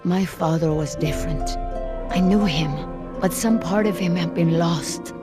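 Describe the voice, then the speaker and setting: Over loud music, an actress plays a proud island woman with a fairly low voice and a Latin American Spanish accent. She speaks earnestly and deliberately, wistful and guarded, in a dramatic game cutscene. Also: lightly acted. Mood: sad, resentful.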